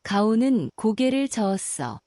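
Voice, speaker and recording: Female storyteller for a bedtime story, mellow and soothing, melodic delivery, dry close sound. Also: a little high, sing-song, dry recording. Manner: slow, soothing and gentle. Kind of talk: calm bedtime story narration.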